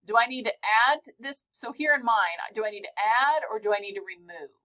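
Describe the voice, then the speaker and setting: Chatty, encouraging woman teacher with a loud voice, on a video call mic. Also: on the high side, animated, a little fast. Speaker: college instructor. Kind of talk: live online software class. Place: home office. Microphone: computer mic on a video call.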